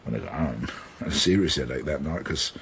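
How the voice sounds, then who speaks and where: An actor playing a middle-aged British detective sergeant, unflappable and fatherly, with a measured, dry, polite delivery in radio drama style. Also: extremely low pitch, animated, gravelly, fairly bright tone, slight background noise, exaggerated voice. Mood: low, confiding. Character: senior police detective sergeant, unflappable, dryly courteous, fatherly mentor. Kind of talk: naturalistic radio drama acting.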